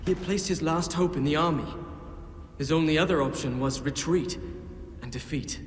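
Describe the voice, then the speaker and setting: An actor playing a wry, earnest young warrior prince in a video game. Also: male voice, fairly high pitch, moderate pace, slight background noise, close to an everyday voice. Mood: somber narrating. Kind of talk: video game cutscene acting and narration. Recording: long hall reverb, loud game music behind.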